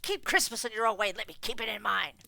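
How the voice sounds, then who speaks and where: A woman's voice: medium pitch, quick pace, vocal fry, sharp tone, no room sound, pitched above the performer's own voice. A woman reading aloud and voicing a grumpy, miserly old man who answers in clipped, indignant retorts. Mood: cross scornful. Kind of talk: storybook reading.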